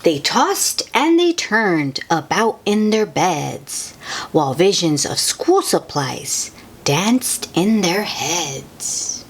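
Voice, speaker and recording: A woman hosts a picture-book read-aloud for little kids. Her voice sits a little low and swings widely in a sing-song, expressive way. There is a low rumbling noise behind her. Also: drawn-out words. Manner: animated, sing-song delivery with asides to child listeners. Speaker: children's read-aloud host.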